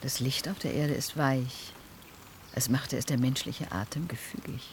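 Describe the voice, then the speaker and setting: Wondering fragile woman, breathy, unhurried, low-pitched, intimate radio play. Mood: dreamy, tender. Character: fragile, dreamy woman feeling a strange change. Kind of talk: intimate German radio drama acting. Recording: rain noise behind the voice. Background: rain.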